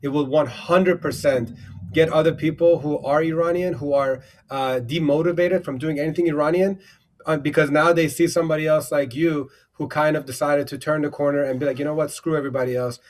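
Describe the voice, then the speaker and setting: A man's voice: fairly high pitch, steady tone, brisk. A man hosting a livestream over a video call, talking in an effusive, rambling way with many fillers and restarts. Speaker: livestream host. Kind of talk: casual livestream chat.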